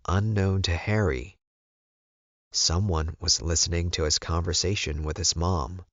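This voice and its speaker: A man's voice: sits low, steady tone, measured pace, a bit bright, no echo. A man reading scripted first-person story narration as an audiobook narrator.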